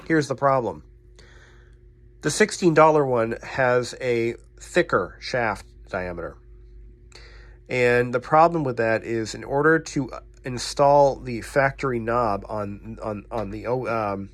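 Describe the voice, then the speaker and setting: Guy doing a casual repair vlog, explains stuff bluntly, kinda self-deprecating, medium pitched voice. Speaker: radio repair technician and channel host.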